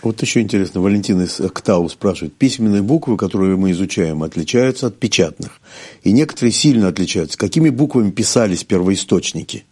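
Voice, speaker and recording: Deep fast-talking male host. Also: animated, darkish tone, slightly rough. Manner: brisk, reads out questions and prompts. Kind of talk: reading viewer questions.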